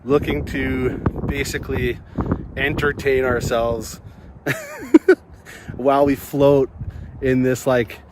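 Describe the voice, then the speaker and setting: A man's voice: medium pitch, huge pitch swings, takes it slowly, pauses often. A young man chatting casually and slangily outdoors, rambling and musing in a laid-back way. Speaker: vlogger snowboarding at a ski hill. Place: outdoors on a ski slope and chairlift. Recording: clear outdoor ambient noise on ski hill.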